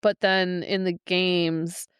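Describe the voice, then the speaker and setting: A woman's voice: fairly low pitch, flat delivery, takes it slowly, clear, resonant tone, dry recording, studio quality. A woman co-hosting a podcast, talking through a book in a casual, informal style. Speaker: podcast co-host.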